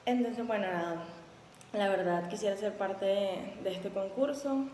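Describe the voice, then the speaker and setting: A woman's voice: mid-range voice, unhurried, warm tone. Chatty young Venezuelan woman, echoey room. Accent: Venezuelan Spanish. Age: 20s. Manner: chatty and upbeat, with many fillers. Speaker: contest applicant. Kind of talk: casual self-introduction video. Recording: echoey room with faint background noise.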